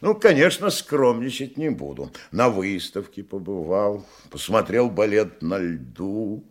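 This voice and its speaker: Chatty, husky provincial gentleman. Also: lowish voice, sing-song, measured pace. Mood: chatty, self-assured. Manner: chatty, exclamatory, slightly pompous. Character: talkative, cultured provincial male hotel guest, eagerly agreeable. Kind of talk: Russian radio play acting.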